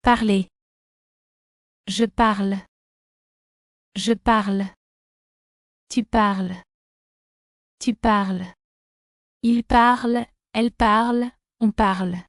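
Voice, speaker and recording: A woman reading verb forms slowly and deliberately for learners. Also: medium pitch, steady tone, no echo, studio quality, soft background music. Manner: slow, deliberate.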